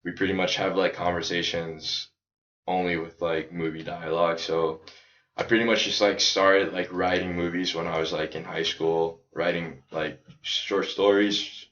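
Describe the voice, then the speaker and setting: An American man from Chicago talking casually as a podcast guest, in a loose, halting way peppered with "like". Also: deep voice, fairly even intonation, fairly bright tone. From Chicago, United States. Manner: loose, halting, heavy use of "like". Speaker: podcast guest, independent filmmaker. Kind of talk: casual conversational chat. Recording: echoey room, dull lo-fi audio.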